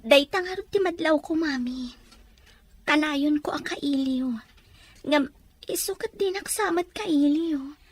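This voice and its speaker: A woman's voice: sits high, unhurried, pauses often, dry recording. A woman playing a lovelorn, clingy young student with a Filipino accent in an audio drama. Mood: wistful. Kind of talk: Ilocano audio drama acting.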